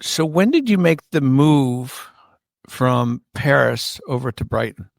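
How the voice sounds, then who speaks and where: Male host, medium pitch, very slow measured delivery, dry studio sound. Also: lively intonation, creaky, dry recording. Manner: slow, deliberate questioning. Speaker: podcast host. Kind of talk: interview questions.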